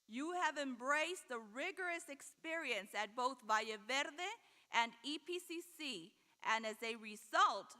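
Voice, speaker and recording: A woman giving a formal commencement address as a school principal, in measured, deliberate short phrases. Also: sits high, bright, forceful tone. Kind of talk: formal prepared ceremonial speech. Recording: echoing hall PA with long reverb.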